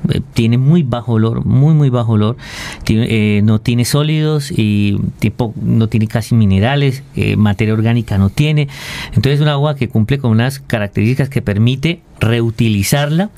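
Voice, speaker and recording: A man's voice: mid-range voice, moderate pace, warm tone. A Colombian man explaining technical matters informally as an interview guest, hesitating and repeating words as he goes. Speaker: interview guest, waste treatment expert.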